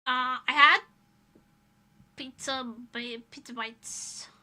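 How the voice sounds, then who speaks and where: A woman from Europe chatting casually on a livestream in an animated, dramatic manner. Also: sits high, takes it slowly. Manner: animated, dramatic exaggeration, swearing. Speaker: livestreamer, VTuber.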